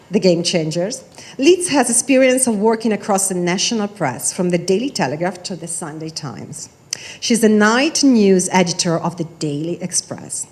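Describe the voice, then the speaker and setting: Low-voiced woman chairing a panel. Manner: reads out guest introductions, then poses questions. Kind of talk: formal panel moderation.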